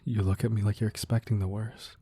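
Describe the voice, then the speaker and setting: A man playing a devoted, protective crime boss boyfriend in a romantic ASMR roleplay, speaking softly and earnestly with hesitant pauses. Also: on the low side, level tone, breathy edge. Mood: reluctant, uneasy. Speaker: audio roleplay performer. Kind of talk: romantic ASMR roleplay, intimate scripted monologue.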